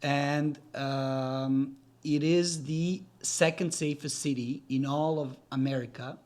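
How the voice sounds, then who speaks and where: Podcast guest: man in his forties, native Serbian speaker, mid pitch, relaxed and halting. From Serbia, raised in Gatineau, Quebec. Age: 40s. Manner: hesitant, many fillers and restarts, friendly. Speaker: podcast guest, real estate developer. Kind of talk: casual interview talk.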